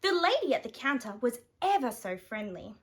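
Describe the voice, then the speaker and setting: Aussie lady reading a bedtime story for kids, really expressive, high voice that swoops around. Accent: Australian. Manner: animated, dramatic pauses and emphasis. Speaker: storyteller reading a picture book.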